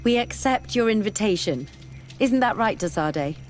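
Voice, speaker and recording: A woman's voice: medium pitch, animated, moderate pace, soft background music, close to an everyday voice. An actress playing an earnest, composed female scholar in a video game, polite and sincere. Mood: earnest, curious. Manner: polite, clear-headed, sincere. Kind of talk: scripted video game acting.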